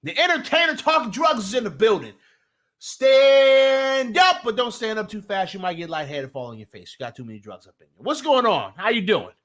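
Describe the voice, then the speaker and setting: A man's voice: high-pitched, very animated, moderate pace, a bit bright. A young man from New Jersey with a Jersey accent, hosting a casual live sports talk stream. He talks loosely and profanely, restarting sentences and greeting his chat.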